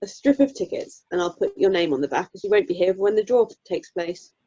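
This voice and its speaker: Casting: actress as sensible, kindly young mum for radio comedy, soft dark tone, chatty, slightly muffled recording. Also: female voice, medium pitch, lively intonation, brisk, husky. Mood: friendly, chatty. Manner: chatty, gently teasing. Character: friendly, sensible young mother selling raffle tickets. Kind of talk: amateur radio play acting. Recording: dull, lo-fi video call sound.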